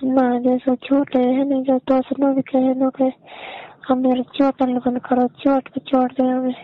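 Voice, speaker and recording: A woman with a Mewati accent chats casually over the telephone. Her voice sits high and moves little in pitch. The sound is thin and narrow, as on a recorded call. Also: completely flat delivery, a little slow. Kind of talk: casual private phone chat.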